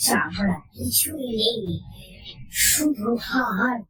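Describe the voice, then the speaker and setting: Slow, tense woman narrating horror. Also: a little high, expressive, a crowd in the background. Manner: slow, tense first-person telling. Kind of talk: scripted horror story narration. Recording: echoey, distant, under music.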